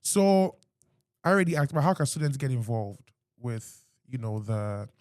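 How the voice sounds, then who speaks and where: A man's voice: mid-range voice, expressive, normal speed, darkish tone, no echo, studio quality. A man with a Ghanaian accent hosting a podcast interview, asking questions in an upbeat, promotional way. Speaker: podcast host.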